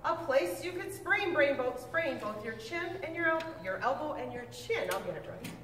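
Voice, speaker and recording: A woman reading a picture book aloud with lively, expressive delivery, now and then stumbling and correcting herself. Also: normal speed, a little hiss or hum. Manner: expressive storybook reading with big pitch swings. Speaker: reader. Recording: echoey room, mic at a distance.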